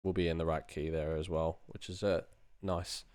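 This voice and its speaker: A man in his thirties chatting casually and low-key on a livestream while he works, half talking to himself, close to the mic. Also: little pitch movement, normal speed, darkish tone. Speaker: sound designer streaming his work. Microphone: microphone close in front of him. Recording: background noise with mouse clicks.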